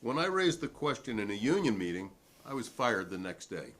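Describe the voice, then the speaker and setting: A man's voice: fairly low pitch, very animated, brisk, fairly bright tone. A man reading the part of a combative working-class salesman testifying at a 1950s hearing, formal, righteous and long-winded. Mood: righteous, indignant. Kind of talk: dramatic reading of a hearing transcript.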